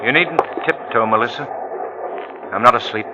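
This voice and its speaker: An actor playing an ailing, suspicious husband in 1940s radio drama, curt, dry and bitterly sarcastic. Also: male voice, mid-range voice, moderate pace, lo-fi sound, lightly acted. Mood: dryly sarcastic. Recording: noisy vintage 1940s radio recording.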